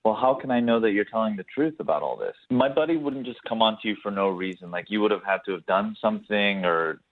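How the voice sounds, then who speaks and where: A man's voice: fairly low pitch, lively intonation, quick pace. A man on a radio phone-in, talking casually and asking questions as a caller. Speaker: phone-in guest on a radio dating segment. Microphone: phone call. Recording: thin, band-limited phone line.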